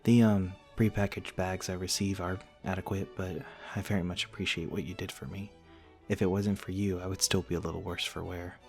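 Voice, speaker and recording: Male actor for courteous vampire gentleman, ASMR audio drama. Deep low voice, old-fashioned formal diction, gentle and slightly hesitant, music underneath. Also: little pitch movement, a little fast, gravelly. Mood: grateful, shy. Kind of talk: ASMR roleplay, soft-mannered dramatic monologue to the listener.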